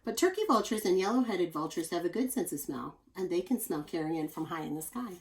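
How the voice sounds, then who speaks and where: A woman reading a children's nonfiction book aloud like a teacher, expressive and explanatory, adding chatty asides for young listeners. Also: fairly low pitch, fairly quick.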